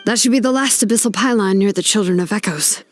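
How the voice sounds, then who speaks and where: A woman's voice: mid-range voice, fast talker, soft background music, lightly acted. A woman playing a direct, dependable young female fighter in a video game. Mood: practical, relieved. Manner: direct, practical. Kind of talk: video game character acting.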